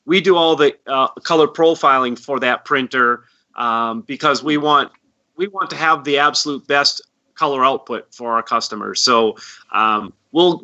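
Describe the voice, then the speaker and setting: A man with a very bright, sharp voice gives informal interview answers as a company expert. He sounds earnest and explanatory. The recording is dull and lo-fi. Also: medium pitch. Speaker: company representative, guest expert.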